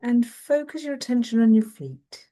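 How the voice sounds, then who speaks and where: A woman leading a guided mindfulness meditation in a gentle, soothing manner, leaving long pauses between phrases. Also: on the high side, very animated.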